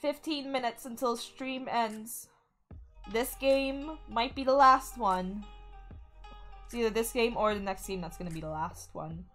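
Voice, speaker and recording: A woman's voice: a little high, unhurried, fairly bright tone, soft background music. A young woman streaming a game, chatting casually and bubbly with her viewers. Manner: bubbly, chatty, talks to chat. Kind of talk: casual gaming stream chat.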